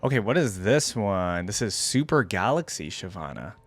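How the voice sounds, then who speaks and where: A man's voice: on the low side, big pitch swings, moderate pace. A man giving casual, off-the-cuff reaction commentary as a video host, thinking aloud as he goes. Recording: music playing behind the voice.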